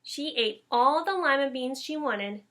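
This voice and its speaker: A woman reading a children's story aloud in an expressive, emphatic school read-aloud style. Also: high-pitched, measured pace. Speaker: elementary school staff member reading aloud.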